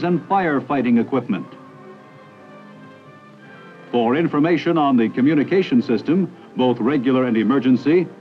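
A man's voice: mid-range voice, dull audio. A man reading scripted 1960s educational film narration in a formal, authoritative manner with clipped lists, on an old film soundtrack.

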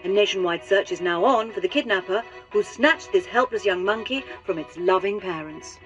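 An actress voicing a cartoon TV news presenter reading the headlines formally. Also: female voice, mid-range voice, moderate pace, a bit bright, soft background music, close to an everyday voice. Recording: dull TV speaker sound.

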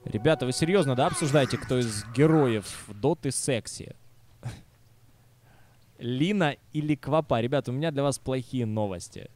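A man's voice: mid-range voice, moderate pace, a little mellow, a little hiss or hum. A man commentating a video game match live, with casual, sardonic asides to viewers. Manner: wry, sardonic. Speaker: esports commentator.

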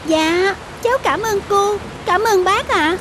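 Casting: earnest, well-mannered young girl, very high voice, timid, for a cartoon. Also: slow, slight background noise. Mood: grateful. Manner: polite, earnest. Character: polite, timid little girl needing help. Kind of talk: cartoon dialogue.

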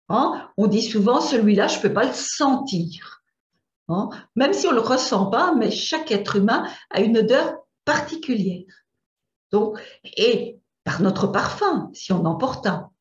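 Slow, lively Belgian woman coach. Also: fairly high pitch. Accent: Belgian French. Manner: hesitant, repeats words while searching. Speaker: image coach. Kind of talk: slide presentation lesson. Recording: roomy, dull lo-fi sound.

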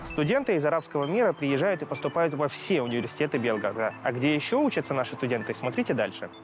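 A man's voice: on the high side, very animated, fast talker, music in the background. A young man in his twenties from Syria hosting the segment, presenting and interviewing in an upbeat way outdoors on a campus street. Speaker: host.

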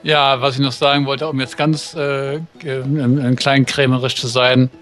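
A man's voice: medium pitch, little pitch movement, normal speed, a bit bright, soft background music. A man guesting on a visual novel reading, patiently correcting translations in long, careful asides. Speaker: guest co-reader. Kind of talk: casual let's-play commentary.

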